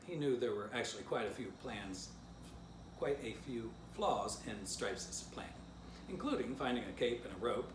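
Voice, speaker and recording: A man presenting to children in a simple, informal way, as an author reading and teaching. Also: medium pitch. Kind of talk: children's story reading and casual drawing lesson. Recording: roomy echo, clear background noise.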